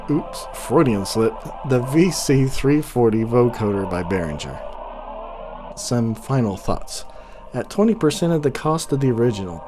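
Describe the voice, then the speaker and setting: Casting: male gear reviewer, measured but keen delivery, clear synthesizer music underneath. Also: medium pitch. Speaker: reviewer, host of a synthesizer channel. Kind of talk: product review narration with demonstration.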